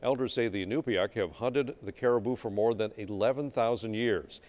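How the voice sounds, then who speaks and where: A man's voice: on the low side, normal speed, darkish tone. A man with an American accent anchoring a television newscast, reading scripted news.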